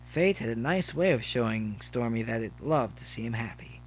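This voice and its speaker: A man's voice: medium pitch, animated, normal speed. Man narrating a story over faint noise.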